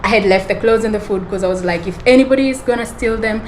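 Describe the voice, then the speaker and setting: A woman's voice: mid-range voice, fast, a little hiss or hum, poor audio. A woman hosting a podcast, telling a personal story in a rambling, self-correcting way and often laughing. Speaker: podcast host. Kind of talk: storytelling monologue.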